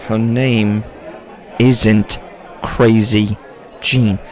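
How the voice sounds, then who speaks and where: Man acting a slow, chiding husband. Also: lowish voice, flat delivery, darkish tone, some chatter behind. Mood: chiding, emphatic. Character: Elaine's disapproving husband, measured and chiding. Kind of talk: audio drama acting.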